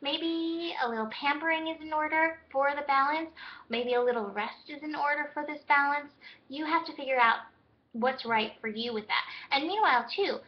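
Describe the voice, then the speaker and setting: High-voiced hoarse woman astrologer. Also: normal speed, muffled recording. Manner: gentle, reflective, spiritual. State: hoarse, losing her voice. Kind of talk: daily horoscope talk.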